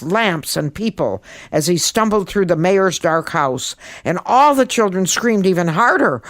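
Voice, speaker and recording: Female storyteller, theatrical, emphatic, low-pitched, unhurried, swooping intonation, children's tale. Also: no echo, studio quality. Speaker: storyteller, guest podcast host. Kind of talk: expressive children's story narration.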